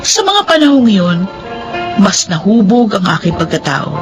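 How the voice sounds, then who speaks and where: An actress as an earnest young woman in an emotional radio drama. She is a struggling, determined student with a heartfelt, respectful way of speaking. Her pitch is mid-range, and music plays clearly behind her. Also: big pitch swings, moderate pace, natural voice. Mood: reflective. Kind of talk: radio drama acting and reflective narration.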